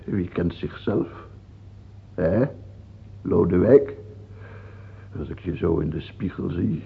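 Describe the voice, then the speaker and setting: A man's voice: low-pitched, slow, vocal fry, slightly rough, exaggerated voice. An actor playing a gaunt, morbid old French king in a theatrical radio play, rambling in self-pity and ranting imperiously. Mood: morbid brooding. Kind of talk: theatrical radio drama acting. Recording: dull, lightly noisy old radio recording.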